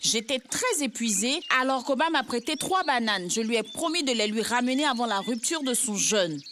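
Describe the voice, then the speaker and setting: A woman voicing a friendly, eager young girl in a dubbed educational cartoon, explaining things earnestly and clearly. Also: on the high side, lively intonation, moderate pace, fairly bright tone, slight background noise. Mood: earnest, worried. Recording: music behind the voice.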